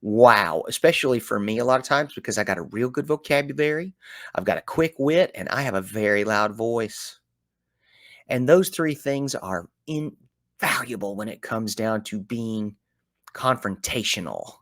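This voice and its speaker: A middle-aged Southern man hosts a reflective solo talk, his low voice swinging from calm musing to loud, animated bursts. The recording is close and dry, with no room sound, and his easy drawl carries folksy phrasing throughout. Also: moderate pace, gravelly, dry recording. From American South. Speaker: podcast host.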